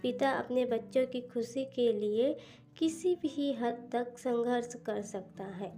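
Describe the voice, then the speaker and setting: A woman's voice: a little high, slow, dark tone. A woman reading scripted storybook narration for a cartoon. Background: instrumental music.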